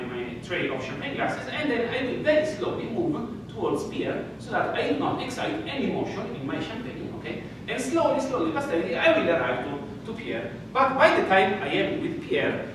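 A middle-aged man with an Italian accent giving a lively, playful physics lecture, pacing and gesturing as he checks in with the audience. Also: sits high, far from the mic. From Italy. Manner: animated, gesturing, playful storytelling with frequent "okay?" checks. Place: dark lecture hall with projector screen. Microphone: distant room mic, speaker walking around. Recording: echoey lecture hall recorded from afar with room noise.

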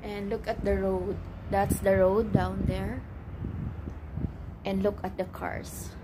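Slow-talking woman filming on her phone. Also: medium pitch, level tone. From Philippines. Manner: unhurried, pointing things out to viewers. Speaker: vlogger. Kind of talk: casual phone vlog. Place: high-rise apartment, indoors and on a snowy balcony. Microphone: phone at arm's length. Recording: phone recording with clear outdoor traffic noise.

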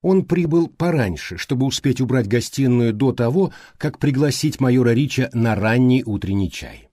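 A man reading a mystery audiobook as scripted narration, with measured, clearly phrased delivery. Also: medium pitch, lively intonation, dark tone, dry recording, studio quality.

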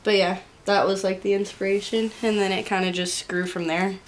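A shy, giggly young woman talks casually in a small bedroom, recorded on a handheld camera. Her voice sits at an ordinary mid pitch, with a faint hiss under it. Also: steady tone, a little fast. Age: young adult. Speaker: girlfriend. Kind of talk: casual chat.

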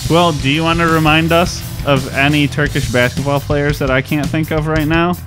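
A man's voice: on the high side, steady tone, moderate pace, over music. A man co-hosting a podcast in casual chat, chatty and swearing casually. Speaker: podcast co-host.

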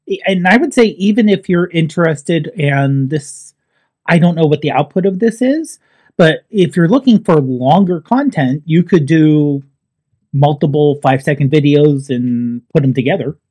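A man's voice: fairly high pitch, animated, normal speed, a little mellow, breathy edge. A man in his forties co-hosting a tech podcast from home over a video call, talking casually and restarting his phrases. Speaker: podcast co-host. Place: home room, remote. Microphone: headset over video call.

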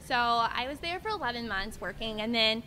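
A young woman from Indiana in her twenties, chatting on a speed date in a bar, nervous and chatty, quick to laugh. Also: on the high side, animated, pushed and bright, slight background noise. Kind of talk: casual chat. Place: small room in a bar. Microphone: studio mic on a stand.

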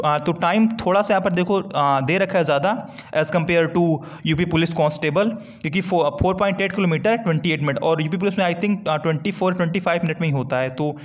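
A man gives an informal, conversational explainer as an exam-preparation host, repeating key points as he walks through a document. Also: on the high side. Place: screen-recorded voice-over.

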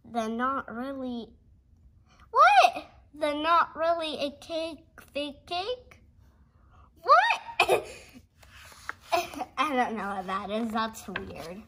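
A young girl reading a picture book aloud haltingly, with giggly, exclaiming casual asides. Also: sits high, very animated, background noise. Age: child. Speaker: host of a read-along video.

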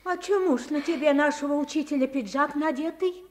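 Radio play: actress as superstitious old peasant nanny, folksy and exclamatory, high voice, speech. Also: female voice, a little fast, lightly acted. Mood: suspicious, scolding. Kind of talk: radio drama acting.

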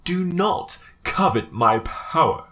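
Man acting a slow, metallic, stern armored knight. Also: a little high, expressive, a bit bright. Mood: imperious. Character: stern, imperious male armored knight racer. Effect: metallic convolution reverb, filtering. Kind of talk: game character voice acting.

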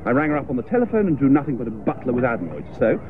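Man acting a lovelorn posh English gent, wildly expressive. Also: medium pitch, very fast, natural voice. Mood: bewildered, plaintive. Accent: upper-class English. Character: lovelorn, earnest well-off young English gentleman. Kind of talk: radio comedy drama acting. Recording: muffled, noisy radio play recording.

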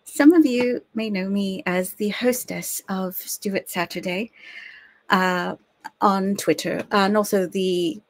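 A woman's voice: mid-range voice, takes it slowly. An American woman from the United States in her thirties, hosting a live-streamed history show from her home study in a chatty, friendly way with small fillers. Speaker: host.

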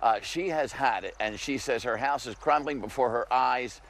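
A middle-aged man delivers a live news report from outdoors. His tone is conversational, with firm emphasis on key points. His pitch sits in an ordinary middle range. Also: normal speed, a bit bright, a little hiss or hum. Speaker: field reporter. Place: outdoors by a grassy field.

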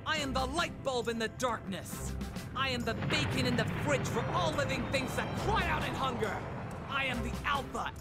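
A man's voice: very high-pitched, normal speed, loud music behind the voice. A man playing a cocky, dim-witted alien warrior hero, earnest and grandiose, in a cartoon parody dub.

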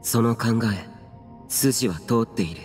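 An actor playing a stoic, solemn young immortal guardian in a video game, terse and reserved. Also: male voice, mid-range voice, measured pace, darkish tone, close to an everyday voice. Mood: solemn resolve. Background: music.

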